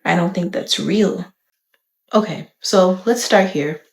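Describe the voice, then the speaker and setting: A young woman from the Bahamas, talking to camera in a thoughtful, candid, measured way. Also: lowish voice, creaky, echoey room. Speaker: vlogger. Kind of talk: reflective talk to camera.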